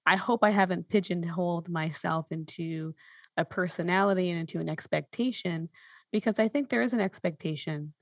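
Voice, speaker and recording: A woman's voice: lowish voice, a little fast, no room sound. A woman speaking reflectively in a conversational interview, searching for her phrasing and often checking in with "right?". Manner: thoughtful, hesitant, searching phrasing with rhetorical "right?".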